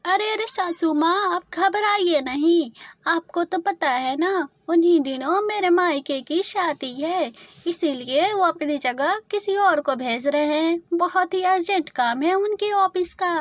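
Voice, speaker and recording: A woman's voice: very high-pitched, measured pace, no room sound. A woman voicing a young married female crow in a children's cartoon, polite and composed but pointed and firm. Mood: reassuring.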